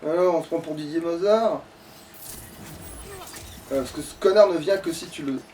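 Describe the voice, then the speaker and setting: A man with a high voice doing casual let's play commentary. He talks in slang, grumbles and curses freely. He sounds a little away from the mic, with faint noise behind him. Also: moderate pace, mellow, recorded from a distance, low-quality recording. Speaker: let's play streamer playing the game.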